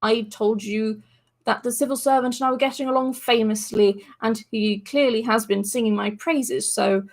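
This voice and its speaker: A woman's voice: a little high, fairly even intonation, normal speed, lightly acted. A woman voicing a vain, chattering male blackbird messenger in a storybook reading, eager, fussy and pleased with himself. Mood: smug. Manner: eager, fussy and self-satisfied.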